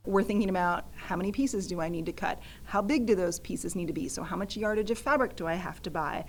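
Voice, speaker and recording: A woman's voice: on the low side, fairly quick, slight background noise. A woman museum educator explaining things in a conversational way.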